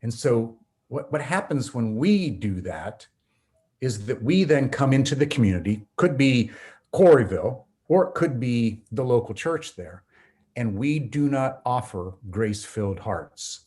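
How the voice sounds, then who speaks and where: A man, a pastor and Bible professor, explaining scripture in an earnest, preacherly way, slowing and speeding up for emphasis. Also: medium pitch, very animated, a little mellow. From North America. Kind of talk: teaching conversation.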